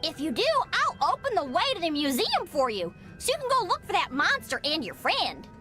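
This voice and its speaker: A woman's voice: very high-pitched, huge pitch swings, a little fast, sharp tone, faint music behind, exaggerated voice. A woman voicing a bossy, showy theater lady in a cartoon video game, theatrical and commanding. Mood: coaxing.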